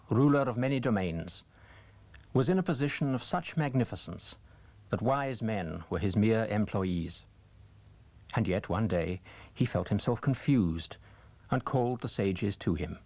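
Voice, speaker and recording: Low-pitched man reading a story, dry sound. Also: lively intonation, darkish tone, dry recording. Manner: measured, deliberate, formal. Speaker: reader. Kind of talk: scripted story narration.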